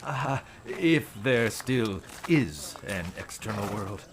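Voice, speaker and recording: Cautious, courtly old viceroy, expressive pitch. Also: male voice, a little hiss or hum. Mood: wary. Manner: formal, measured, courtly. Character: cautious, deferential old royal viceroy, quietly wary. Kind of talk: full-cast audio drama acting.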